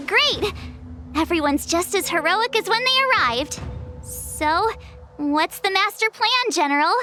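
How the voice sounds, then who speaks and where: A woman's voice: very high voice, animated, takes it slowly, pushed and bright, soft background music, exaggerated voice. A woman voicing a small, perky, childlike companion in an anime-style game, chirpy, naive and inquisitive. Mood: cheerful. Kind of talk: scripted anime-style video game acting.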